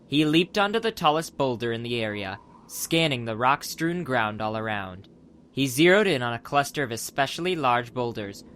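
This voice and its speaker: A man's voice: mid-range voice, a bit bright, slight background noise. A man narrating a dramatized adventure audiobook from a script as the storyteller.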